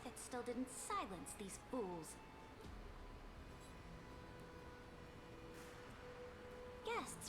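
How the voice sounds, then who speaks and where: A woman's voice: high-pitched, background noise. A woman playing an imperious, haughty female emperor in a video game, speaking formally and with authority. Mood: dismissive, regal. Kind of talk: dramatic video game voice acting.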